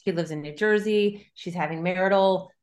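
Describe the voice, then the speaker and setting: A woman's voice: lowish voice, normal speed, darkish tone. A middle-aged woman talking casually as an interviewed novelist, thinking aloud in loose, looping sentences. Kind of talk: casual conversational interview about writing.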